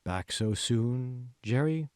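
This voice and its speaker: A man voicing a smug, manipulative AI assistant in an audiobook, speaking slowly with cool, condescending control. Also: mid-range voice, animated, darkish tone, no room sound, studio quality. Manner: slow, condescending, coolly controlling. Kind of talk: audiobook character voice.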